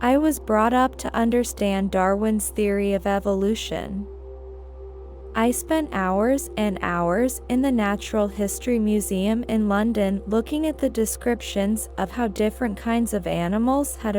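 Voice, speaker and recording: A woman's voice: mid-range voice, darkish tone. A woman reading inspirational quotations as scripted narration.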